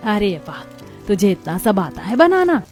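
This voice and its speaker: A woman's voice: medium pitch, very animated, unhurried, a little mellow. Cartoon village mother-in-law, played by a woman: kindly, proud, homely rustic speech, faint music underneath. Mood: pleased.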